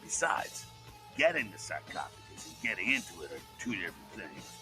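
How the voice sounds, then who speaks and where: A man's voice: low voice, big pitch swings, brisk. An actor playing a streetwise, sardonic male vampire rebel leader in a video game, talking in a drawling, conspiratorial way. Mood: wry. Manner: drawling, conspiratorial, calls the player kiddo. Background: game music.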